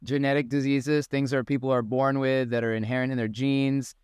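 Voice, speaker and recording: A man's voice: mid-range voice, little pitch movement, brisk, a little mellow, clear, resonant tone, no echo, clean studio sound. A man hosting a podcast, asking curious, easygoing questions with lots of fillers. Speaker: podcast host. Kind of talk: conversational interview.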